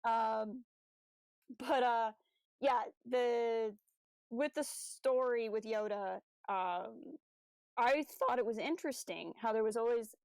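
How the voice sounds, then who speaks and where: A woman's voice: fairly high pitch, slow, clean tone, dry recording. A woman hosting a casual fan podcast, talking with geeky enthusiasm and playfully voicing imagined dialogue as she goes. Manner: animated fangirl enthusiasm, acting out imagined dialogue. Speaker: podcast host. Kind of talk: casual chat about a fan audio drama.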